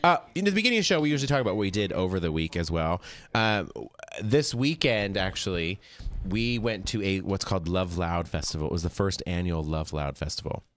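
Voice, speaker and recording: A man in his late thirties from Utah hosting a chat show, telling stories in a chatty, joking way with many restarts, his voice lower than usual today. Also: animated, brisk. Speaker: podcast host. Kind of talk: casual chat.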